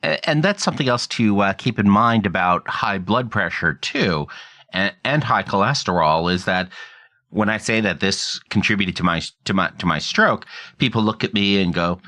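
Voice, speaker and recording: Male host, low voice, measured and explanatory delivery. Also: animated, sharp tone. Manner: measured, thoughtful, explains medical terms. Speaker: podcast host and stroke survivor. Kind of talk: interview questions and commentary.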